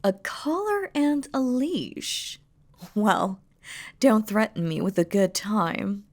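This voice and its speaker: A woman's voice: medium pitch, animated, slow pace, a little mellow. A woman playing a doting, playful demon queen in an intimate romantic audio roleplay, speaking tenderly and teasingly in formal, regal phrasing. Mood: curious, teasing.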